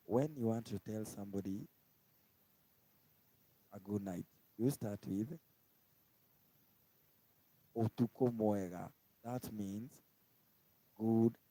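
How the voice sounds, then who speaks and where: A man from Kenya with a Kenyan accent, giving a casual language lesson and slowly repeating each word. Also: lowish voice, mellow, dry recording, low-quality recording. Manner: slow, repetitive, spells out each word twice. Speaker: language teacher. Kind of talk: informal live-stream language lesson.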